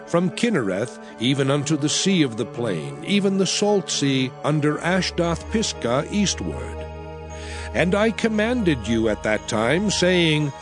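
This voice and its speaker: Solemn commanding bible prophet, kinda high voice, big swoops in pitch, declaiming old scripture to his people, bit of music behind. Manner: solemn, declamatory, measured phrasing with archaic diction. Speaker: dramatized Bible narrator. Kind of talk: dramatized scripture reading.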